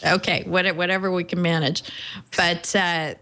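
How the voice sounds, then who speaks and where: A woman's voice: on the low side, little pitch movement, moderate pace, pushed and bright. A woman hosting a podcast panel, poised and steering the talk with questions. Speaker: podcast host.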